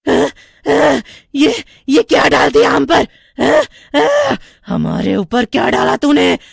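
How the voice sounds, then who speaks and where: A woman's voice: high voice, wildly swooping pitch, slow pace, vocal fry, dry recording, studio quality, pitched above the performer's own voice, heavily acted. A woman voicing a panicking witch, wailing and stammering as she begs for mercy. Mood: agonised, shrieking. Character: panicking female witch, burning and begging for mercy.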